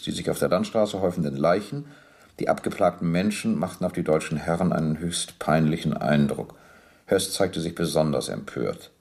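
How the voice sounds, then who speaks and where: Very deep, gravelly police interrogator. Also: male voice, darkish tone. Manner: measured, matter-of-fact, precise. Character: sober, persistent police captain interrogating a war criminal. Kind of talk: docudrama radio play, questioning and reading out documents.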